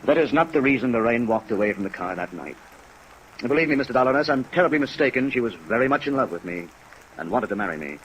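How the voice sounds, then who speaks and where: A man's voice: medium pitch, fast, lightly acted, rough recording. An actor playing an earnest, dignified older American man jilted by his fiancée, speaking formally in 1950s radio drama style. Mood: defensive, earnest. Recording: 1950s radio recording with rain noise. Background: steady rain sounds.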